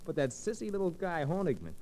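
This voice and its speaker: An actor playing a rough, jovial sidekick with blunt, slangy talk in a vintage radio detective drama. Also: male voice, high voice, wildly swooping pitch, fairly quick. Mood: amused, puzzled. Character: rough, jovial, tough-guy sidekick of an investigator. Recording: noisy vintage radio recording.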